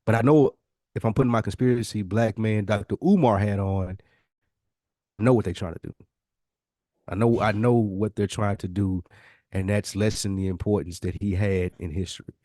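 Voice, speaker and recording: An American man co-hosts a casual podcast in a fairly deep voice. He is plainspoken and blunt, often restating a phrase to drive it home. The recording is dry and free of echo. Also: fairly even intonation, normal speed, mellow. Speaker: podcast co-host. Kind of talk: casual discussion.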